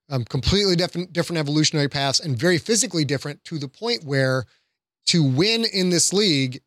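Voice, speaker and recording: A man's voice: fairly high pitch, bright, forceful tone, dry recording, clean studio sound. A man, an author talking as a guest in a casual discussion and explaining his thoughts.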